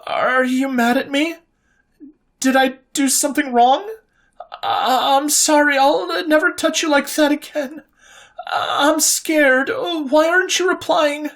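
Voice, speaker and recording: A woman's voice: high voice, voice pushed up. A storyteller voicing an anxious young woman officer who stammers and pleads, spiraling into self-blame.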